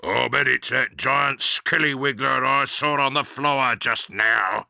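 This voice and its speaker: A man voicing a nasty, scheming old husband with a gruff, gravelly voice. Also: medium pitch, slow, sharp tone, dry recording, clean studio sound, pitched above the performer's own voice, exaggerated voice. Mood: gloating.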